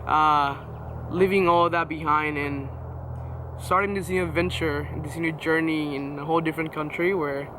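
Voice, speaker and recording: A man's voice: a little high, fairly even intonation, normal speed, noisy background. A nineteen-year-old man from Venezuela with a Venezuelan Spanish accent, a native Spanish speaker telling his faith story casually, with many fillers and restarts. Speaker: guest sharing testimony. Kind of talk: personal testimony, casual.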